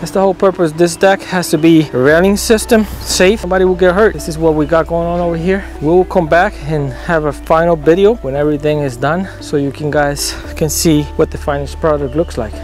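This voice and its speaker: A man's voice: a little high, brisk, few pauses, faint music behind. Upbeat man. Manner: energetic, informal, demonstrating with tools. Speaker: roofing company owner. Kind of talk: on-site vlog walkthrough. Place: outdoors on a residential rooftop. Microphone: lapel.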